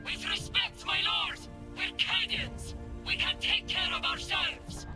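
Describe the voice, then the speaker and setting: A woman voicing a proud, defiant soldier major who shouts over the battle, in a game cutscene. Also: very high voice, slow, over loud music.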